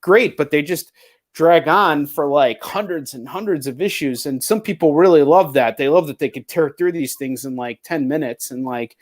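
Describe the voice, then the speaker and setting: A man's voice: on the high side, normal speed. A man hosting a casual interview show, talking in a blunt, rambling way with plenty of swearing. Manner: rambling, heavily profane, blunt. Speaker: show host. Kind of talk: casual video chat interview.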